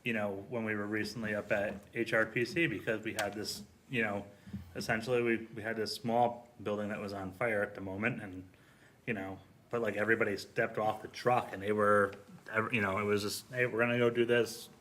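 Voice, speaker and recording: Bright-voiced man telling stories casually. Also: fairly low pitch, level tone, fairly quick. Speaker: firefighter on a podcast. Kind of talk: casual storytelling.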